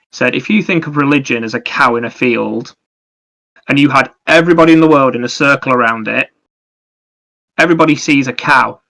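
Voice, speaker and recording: A man's voice: medium pitch, a bit bright, low-quality recording. A man co-hosting a podcast in casual conversation, explaining ideas in a rambling, anecdotal way. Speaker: podcast co-host. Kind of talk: casual chat about video games and ideas.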